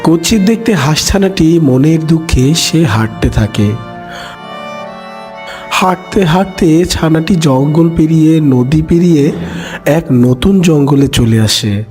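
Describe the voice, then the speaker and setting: A man's voice: fairly high pitch, expressive, takes it slowly, darkish tone, soft background music. A man narrating a scripted children's fairy tale.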